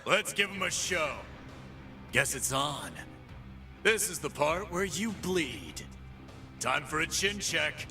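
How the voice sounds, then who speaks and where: A swaggering pit-fighter boss. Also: male voice, on the high side, animated, slow pace, long pauses, sharp tone, faint music behind, exaggerated voice. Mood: cocky taunting. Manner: taunting, streetwise trash talk. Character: cocky brawling male pit boss, tough and swaggering. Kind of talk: game character voice lines.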